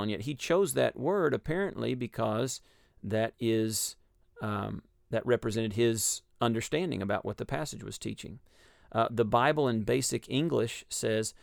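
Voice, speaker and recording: A man with a Texas accent explaining a Bible passage in a measured, teaching way on a radio discussion. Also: medium pitch, vocal fry, dry recording, studio quality. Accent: Texan. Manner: measured, explanatory, with frequent ums and uhs.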